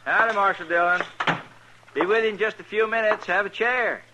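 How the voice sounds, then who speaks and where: An actor playing a gossipy, eager-to-please small-town barber in a 1950s radio western. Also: male voice, high-pitched, brisk, background noise, lightly acted. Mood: chatty. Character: chatty fussy small-town male barber. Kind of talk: 1950s radio drama acting.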